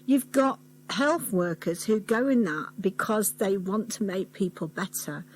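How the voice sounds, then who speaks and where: A British woman works as a radio interviewer. Her voice sits at a medium pitch for a woman. She speaks informally and somewhat hesitantly, as if working out her point while talking. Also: animated, a little slow, low-quality recording.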